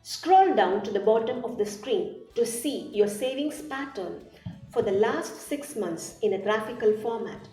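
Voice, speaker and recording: A woman reading a scripted product tutorial as narrator, in a measured, instructional way. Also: fairly high pitch, lively intonation, warm tone. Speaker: app demo narrator. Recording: roomy echo, distant mic, music behind. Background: music.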